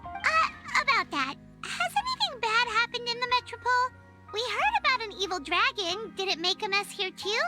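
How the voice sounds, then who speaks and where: A small childlike fairy companion from a video game. The voice is squeaky and sky-high, with lively, bouncing intonation. Music plays behind it. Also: female voice, moderate pace, full character voice. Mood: hesitant, eager.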